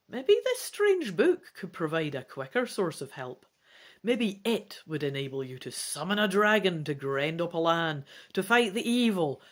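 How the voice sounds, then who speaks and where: Woman, gamebook reader, theatrical narration, unhurried pace, animated pitch, expressive. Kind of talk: dramatic storybook narration with casual asides.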